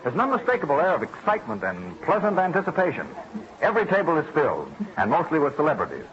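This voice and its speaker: A man's voice: fairly high pitch, wildly swooping pitch, quick pace, fairly bright tone. An American man giving scripted old-time radio narration in a dramatic, declamatory delivery. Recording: dull vintage radio recording with music behind. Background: music.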